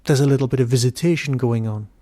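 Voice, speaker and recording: A man hosting a podcast, giving an informal solo review in a wry, digressive way with emphatic pauses. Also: medium pitch, mellow, dry recording, clean studio sound.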